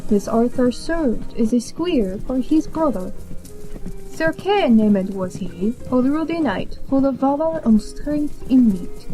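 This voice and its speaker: Slow, mellow young woman storyteller. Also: high voice, lively intonation, rough recording. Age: young adult. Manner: measured, declamatory. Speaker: storyteller, college student. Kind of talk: scripted narration of an archaic verse tale. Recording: music under the voice.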